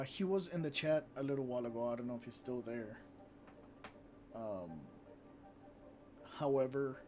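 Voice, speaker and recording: A man with a mid-range voice chats easily with his viewers, reading out their comments with plenty of fillers and restarts. Loud game music plays under his voice throughout the stream. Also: medium pitch. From Mexico. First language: Spanish. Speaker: live streamer and channel moderator. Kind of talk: casual live-stream chat while playing a game.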